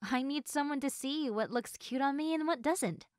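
Playful high-voiced young woman acted by a woman. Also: moderate pace, no room sound, studio quality, natural voice. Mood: playful teasing.

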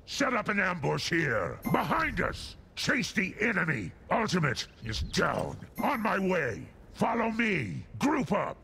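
Casting: menacing fire giant warlord, video game. Gravelly, wildly varied pitch, imperious and commanding. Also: male voice, wildly swooping pitch, bright, forceful tone, a little hiss or hum, exaggerated voice. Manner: commanding, imperious, deliberate delivery. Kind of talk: theatrical game voice lines and battle callouts.

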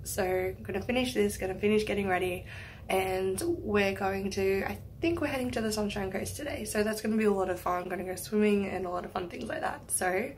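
A young woman in her twenties with a raspy voice, chatting casually to a phone camera for her travel vlog. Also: medium pitch, fairly quick. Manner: chatty, laughs easily. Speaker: vlogger. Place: home room, then inside a car. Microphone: phone camera held at arm's length. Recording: loud background noise from the car and street.

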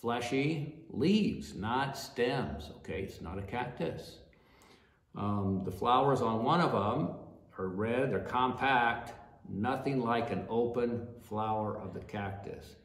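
An older man in a small office gives a casual plant class and talks straight to the camera from across the desk. His voice sits at a middle pitch and keeps checking in with "okay?" as he goes. The room adds a clear echo. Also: expressive, slow. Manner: chatty, checks in with "all right?" and "okay?" often. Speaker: plant educator. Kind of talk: informal botany class.

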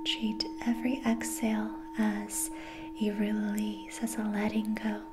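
A young adult woman guiding a relaxation in a soft, breathy ASMR whisper, soothing, gentle and unhurried. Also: mid-range voice, flat delivery, over loud music. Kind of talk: whispered ASMR guided meditation. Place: small dim quiet room indoors.

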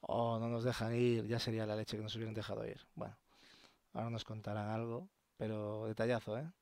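A man from commentating a horror game, chatty and excited, swearing often. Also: lowish voice, fairly quick, no echo. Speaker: gamer. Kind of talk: let's play commentary. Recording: noisy game audio underneath. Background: game ambience.

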